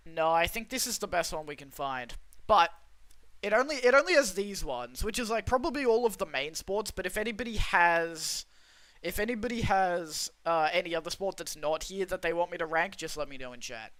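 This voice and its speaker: A man's voice: high-pitched, expressive, a little fast, a bit bright, no room sound. A young Australian man streaming, chatting casually with his viewers in a rambling, self-interrupting way. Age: young adult. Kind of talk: casual livestream chat reading chat.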